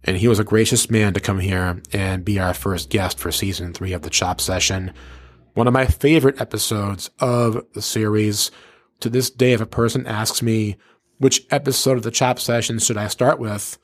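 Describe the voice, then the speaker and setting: A man's voice: fairly low pitch, steady tone, moderate pace, no room sound. A man hosting a hip-hop interview show in a casual, earnest way, effusive and slangy. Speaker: podcast and radio host.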